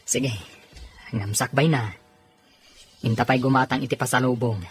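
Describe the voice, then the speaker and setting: A man's voice: mid-range voice, fairly even intonation, normal speed, faint music behind, natural voice. A man with an Ilocano accent acting a sociable, teasing husband and friend in an audio drama.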